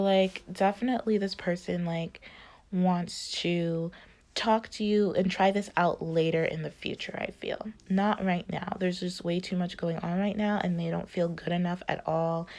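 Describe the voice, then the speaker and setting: A woman's voice: lowish voice, a little mellow. A woman giving a casual tarot reading, rambling with many fillers while losing her voice. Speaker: tarot reader.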